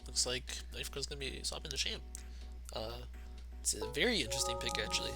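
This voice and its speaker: A young man commentates a fighting game tournament on a live stream, his voice soft, low and quiet even at full mic volume. He talks in a relaxed, easygoing way and chuckles often between remarks. Game music plays clearly behind him. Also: fast talker. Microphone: desk mic turned to max. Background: game music playing.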